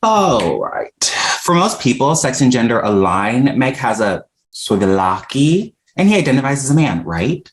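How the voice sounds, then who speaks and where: A man's voice: mid-range voice, big pitch swings, a little slow, hardly any pauses. A man acting a frank, exasperated young non-binary dinner guest in a comedy script table read, talking in a candid, wry way. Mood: patient, explaining.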